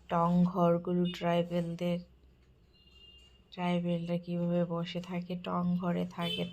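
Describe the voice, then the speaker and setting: Soft-spoken woman, slow and flat. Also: on the low side, creaky, a little hiss or hum. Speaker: vlogger touring a village-life exhibit. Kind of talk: casual narrated walkthrough.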